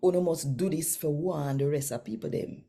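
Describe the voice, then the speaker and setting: A woman's voice: low voice, moderate pace, gravelly, mellow. A woman with a Jamaican accent, hosting and reading scripture aloud in a devotional style. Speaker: host reading daily scripture.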